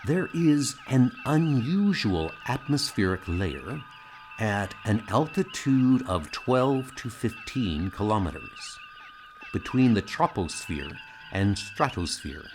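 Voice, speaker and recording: A man playing a calm, precise, logical alien science officer in an amateur comic audio drama, speaking formally and without emotion. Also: lowish voice, background noise. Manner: measured, formal, deadpan.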